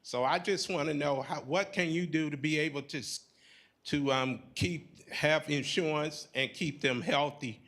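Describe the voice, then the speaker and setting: A man speaks from the floor in a conference hall. His voice is bright and sharp-edged, a little high for a man. He stumbles and searches for words, filling gaps with "uh" and "um". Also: creaky. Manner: halting, searching for words, many fillers. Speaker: audience member at a public forum.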